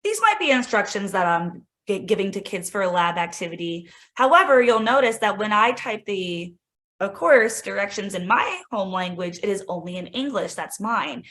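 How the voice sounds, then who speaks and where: A woman coach demos software for teachers in a loose, chatty way, her mid-range voice bouncing with enthusiasm. She fills her talk with "like" and "right?" as she clicks through, friendly and informal. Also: mid-range voice, expressive, moderate pace. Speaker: instructional coach presenting a tech demo. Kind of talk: casual screen-share tutorial.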